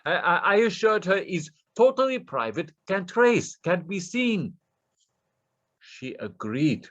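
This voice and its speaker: Man playing a stammering Russian scammer, high-voiced. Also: very animated, takes it slowly, a bit bright, highs cut off, exaggerated voice. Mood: anxious, reassuring. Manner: stammering, deferential, broken English. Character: desperate Russian man scammer, nervous and eager to please. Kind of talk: staged play reading.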